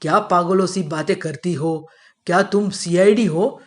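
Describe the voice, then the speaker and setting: A woman voicing a middle-aged father in a children's story, teasing and doubting, with gruff affection and scoffing questions. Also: fairly low pitch, measured pace, a little mellow, pitched below the performer's own voice, lightly acted. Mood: skeptical, scolding.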